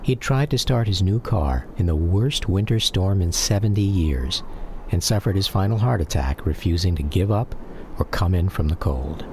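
An American man narrating a scripted radio memoir in a measured, reflective manner. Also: low voice, lively intonation, noisy background.

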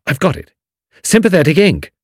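A man's voice: a little high, big pitch swings, normal speed, husky, dry recording, clean studio sound, pitched above the performer's own voice. A man voicing an uneasy but eager young British adventurer, clipped and understated, in an audiobook story.

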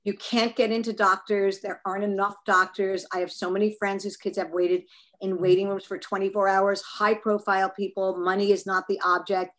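A woman speaks frankly and earnestly as a mental health advocate. Her pitch sits in the middle range for a woman, and she often circles back and restarts her sentences as she makes her appeal. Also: little pitch movement, normal speed, a bit bright, poor audio. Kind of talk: casual podcast interview.

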